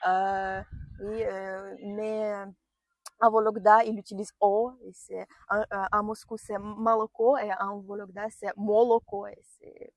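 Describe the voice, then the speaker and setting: Hesitant young woman, slow questions. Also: medium pitch, little pitch movement, no room sound. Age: young adult. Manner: hesitant, many fillers, laughs often. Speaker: student interviewer.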